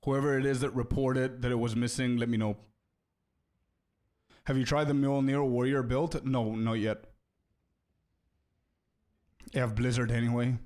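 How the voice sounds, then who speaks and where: A young man streaming a video game and chatting casually with viewers, loose and friendly, often calling them bro and repeating words as he thinks out loud. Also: mid-range voice, fairly even intonation, normal speed. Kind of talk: casual live-stream chat, answering viewers.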